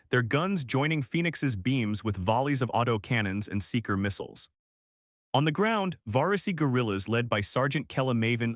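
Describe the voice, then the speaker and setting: A man reading a scripted science fiction war story as its narrator, in a measured, formal storytelling manner. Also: medium pitch, a bit bright, no room sound, studio quality.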